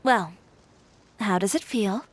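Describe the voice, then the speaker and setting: A woman's voice: medium pitch, slow pace, slight background noise, lightly acted. A woman playing a proud, candid young fashion designer in an anime video game, composed and assertive with a wry edge. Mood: calm, wistful. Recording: music playing behind the voice.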